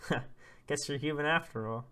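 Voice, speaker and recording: Guy doing a brash villager, loud and blunt, lively story character. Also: fairly high pitch, fairly quick, clean tone. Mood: teasing.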